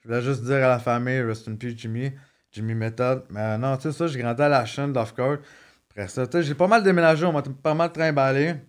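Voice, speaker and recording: A man's voice: mid-range voice, steady tone, fast talker. A man with a Québécois French accent, telling his life story in a casual interview, joking and rambling with lots of repeated words. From Quebec. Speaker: guest.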